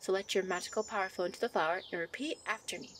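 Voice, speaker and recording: A woman voicing a shy, gentle young nature fairy who teaches affectionately in a soft ASMR roleplay. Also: mid-range voice, very fast, background noise, lightly acted. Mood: gently instructing. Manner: soft, encouraging, affectionate, giggly. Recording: birdsong and outdoor ambience behind. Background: birdsong ambience.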